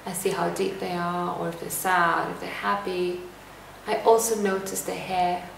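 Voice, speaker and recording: Gravelly-voiced woman in an echoey room. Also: on the low side, moderate pace, a little hiss or hum. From Lithuania. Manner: calm, reflective, reads questions aloud. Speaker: lifestyle vlogger. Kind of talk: casual to-camera Q&A. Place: indoor room at home. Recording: echoey room, voice a bit distant.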